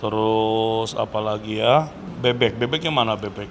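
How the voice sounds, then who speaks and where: A man's voice: lowish voice, moderate pace. A man with an Indonesian accent giving a casual food review, chatty and addressing viewers as friends. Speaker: food vlogger host. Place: restaurant. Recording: clear background noise, restaurant ambience.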